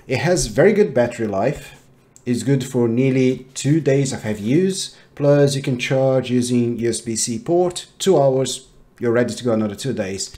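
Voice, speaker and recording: A man in his thirties giving a casual product review to camera, talking in a halting way as he thinks aloud. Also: mid-range voice, dark tone. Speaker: tech reviewer. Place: small home office room, indoors.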